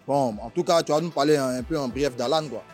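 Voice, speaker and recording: A man's voice: medium pitch, quick pace, a little mellow, faint music behind. A man with an Ivorian accent conducting an informal street interview, slangy and prodding.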